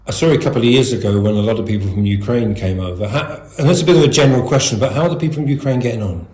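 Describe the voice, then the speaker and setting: Guy doing an interview, informal rambly questions, middling pitch, roomy echo. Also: very fast, darkish tone. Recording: echoey room.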